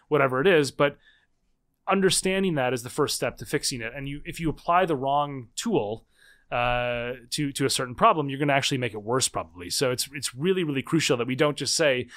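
A man in his thirties, a guest author in a conversational interview, explaining in quick, animated bursts with frequent restarts. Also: medium pitch. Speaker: guest, political scientist and author.

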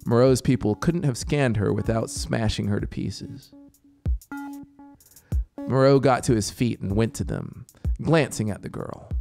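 A man in his thirties reads a scripted story in a deliberate, dramatic manner with pauses, close on a studio microphone. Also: mid-range voice, big pitch swings, creaky, a little mellow. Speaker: audiobook narrator. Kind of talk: scripted story narration over soundscape. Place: small home music studio, indoors. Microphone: close studio microphone with headphones. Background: atmospheric synth music.

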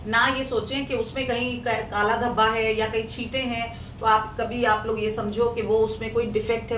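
Hoarse chatty woman on a live sale. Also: fairly high pitch. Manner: chatty, mixes English into Hindi, greets viewers by name. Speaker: clothing seller hosting a live sale. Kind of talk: casual live-stream sales talk. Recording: echoey room, mic at a distance, faint noise.

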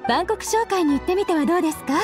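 A woman's voice: extremely high pitch, lively intonation, very fast. A woman voicing a polite, welcoming young female shopkeeper in scripted game dialogue. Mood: apologetic, explaining. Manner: polite customer-service speech. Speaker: game voice actor. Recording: studio voice over game music.

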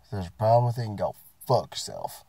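A man's voice: lowish voice, big pitch swings, fast talker, vocal fry, dark tone, no room sound, clean studio sound. A man, getting sick, ranting casually and profanely about his work week from inside his parked car. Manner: profane, rambling, self-mocking. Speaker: vlogger. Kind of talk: casual rant to camera.

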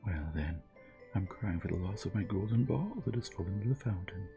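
A man's voice: low voice, expressive, a little fast, creaky, highs cut off, over music, voice pushed up. A man voicing a spoiled, fickle young princess in a fairy tale, with a light, airy delivery. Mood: tearful.

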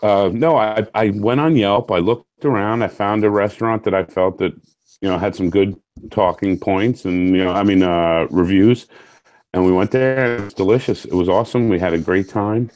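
A man's voice: lowish voice, moderate pace, darkish tone, highs cut off. A middle-aged man from New York State, a guest on a video call through earphones, candid and jokey. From Orange County, New York. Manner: jokey, candid, laughs easily. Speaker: guest, firefighter. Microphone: earphone headset on video call.

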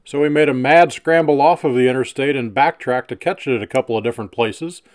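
A man narrating his rail trip video in a relaxed, matter-of-fact way. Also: medium pitch, quick pace. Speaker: railfan vlogger.

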